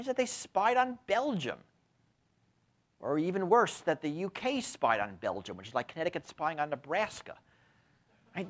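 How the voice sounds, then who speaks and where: Lively bearded man lecturing. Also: a little high. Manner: frequent tag questions, lively emphatic delivery. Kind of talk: university lecture. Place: lecture room with window blinds. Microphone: lapel mic.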